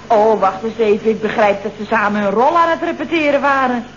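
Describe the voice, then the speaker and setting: A woman's voice: on the high side, fairly quick, lightly acted. An actress playing a curious woman who probes for the story in a late-sixties Dutch radio comedy. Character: curious, probing woman listener, drawing out the story. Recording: dull, noisy, roomy old radio recording.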